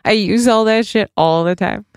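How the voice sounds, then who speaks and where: A woman's voice: mid-range voice, moderate pace, clean tone, no echo, studio quality. A woman in her thirties co-hosting a podcast, bantering bluntly and teasingly in casual chat. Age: 30s. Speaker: podcast co-host.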